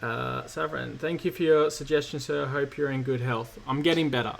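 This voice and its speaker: A man, slightly croaky from illness, hosting a relaxed live-stream Q&A and answering chat questions with many fillers. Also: medium pitch, normal speed, a little hiss or hum. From Australia. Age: 30s. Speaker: cybersecurity streamer host.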